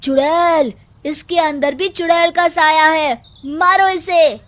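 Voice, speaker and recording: A woman voicing an excitable, scheming young village man in a Hindi cartoon story, emphatic and theatrical. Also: very high-pitched, slow, exaggerated voice. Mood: urgent, accusing.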